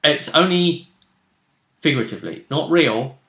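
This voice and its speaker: A man's voice: on the high side, big pitch swings, normal speed, a bit bright. A man speaking as a game master, casually recapping a tabletop game. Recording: echoey room sound.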